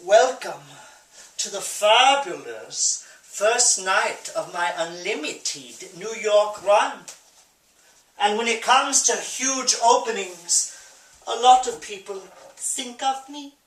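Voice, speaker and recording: A man playing a flamboyant, bitter East German drag rock diva in a grandiose, campy stage monologue with dramatic pauses. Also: high voice, lively intonation, fairly bright tone, heavily acted. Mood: wry, grandiose. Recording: roomy echo, distant microphone.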